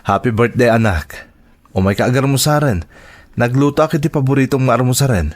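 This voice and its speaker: A man with an Ilocano accent acting a gentle, devoted father in an audio drama, tender and reassuring. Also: medium pitch, expressive, fairly quick, natural voice. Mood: cheerful. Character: gentle, devoted father of young children, wife working abroad.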